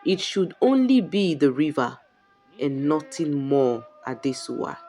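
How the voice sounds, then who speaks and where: A woman storyteller playing a watchful, suspicious African king in a folktale, measured and quietly menacing. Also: low voice, big pitch swings, soft background music. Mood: quietly stern.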